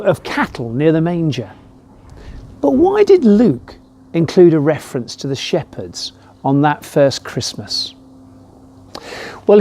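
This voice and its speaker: Preacher with lively, mellow delivery. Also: male voice, high voice. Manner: measured, with rhetorical questions. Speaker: rector preaching a Christmas message. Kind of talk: reflective short sermon.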